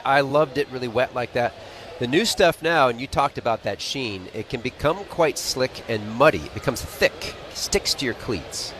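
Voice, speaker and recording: A male American color commentator talks through a baseball game in a conversational, analytical way. His voice is medium in pitch, with clear outdoor ambient noise behind it. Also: fairly bright tone. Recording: clearly noisy live outdoor broadcast.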